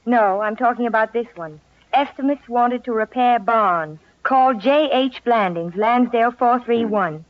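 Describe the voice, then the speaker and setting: An actress playing a skeptical, teasing middle-aged wife in mid-century radio comedy, with deadpan sarcasm. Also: female voice, on the high side, measured pace, dry recording, lightly acted. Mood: reproachful. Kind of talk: 1940s-1950s radio comedy acting. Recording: muffled old radio recording.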